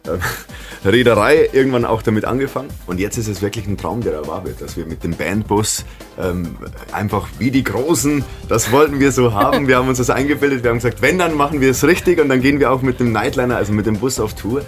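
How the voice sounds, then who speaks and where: A man's voice: mid-range voice, quick pace, faint music behind. A man, a band member, chatting cheerfully in an interview and laughing often while he tells stories. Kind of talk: casual chat.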